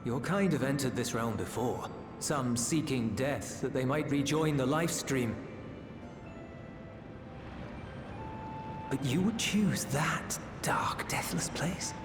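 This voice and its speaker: An actor playing a calm, compassionate and resolute warrior in a fantasy video game, speaking sincerely and a little formally. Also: male voice, medium pitch, close to an everyday voice. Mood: grave, questioning. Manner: measured, sincere, slightly formal. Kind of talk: dramatic video game cutscene acting. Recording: echoey, with music behind. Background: music.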